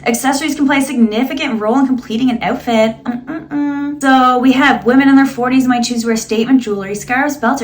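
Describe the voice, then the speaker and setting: A woman in her late thirties, a vlogger who reads search results aloud and pokes fun at them with dry, sarcastic asides. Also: fairly high pitch. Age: 30s. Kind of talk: casual vlog reading and commenting.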